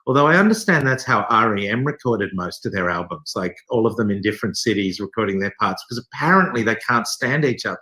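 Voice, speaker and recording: Low, bright-voiced Australian man. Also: animated, fast, few pauses. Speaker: theatre company director being interviewed. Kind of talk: casual interview conversation.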